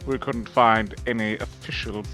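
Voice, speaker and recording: A man playing a formal, smug prosecutor in a fan dub of a courtroom game, speaking in a measured, deliberate way. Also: lowish voice, steady tone, pushed and bright, faint music behind. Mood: smooth, assured.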